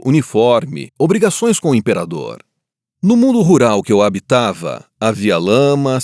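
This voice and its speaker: A man acting as a grieving war survivor tells his story in dramatic first-person narration. His mid-range voice moves expressively, with solemn, reflective pauses, in a dry, close recording. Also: medium pitch, darkish tone, no echo. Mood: wistful, reflective. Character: grieving Japanese survivor of the Nagasaki bomb, looking back.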